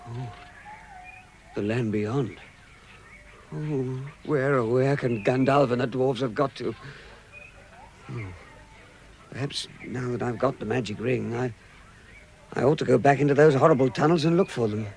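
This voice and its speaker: A man's voice: medium pitch, a little mellow, close to an everyday voice. A man playing a small, fussy, timid hobbit with a flustered, exclamatory manner in a vintage radio drama.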